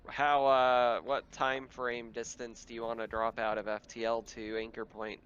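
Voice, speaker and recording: A man's voice: medium pitch, flat delivery, unhurried, a bit bright, dull audio, slight background noise. A man running a tabletop game as game master, mixing casual narration with banter among players.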